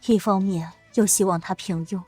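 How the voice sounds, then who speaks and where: A woman voicing a shrewd middle-aged empress and protective mother in a Chinese period drama, speaking in a measured, imperious way. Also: mid-range voice, steady tone, dark tone, breathy edge, faint music behind, natural voice. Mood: stern, authoritative.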